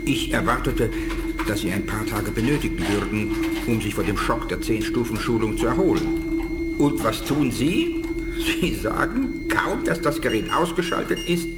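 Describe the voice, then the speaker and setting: A man's voice: mid-range voice, lively intonation, moderate pace, natural voice. An older man playing an aged, fatherly alien scientist in a 1980s German radio play, speaking earnestly and emphatically. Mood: amazed, impressed. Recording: radio play with loud music underneath.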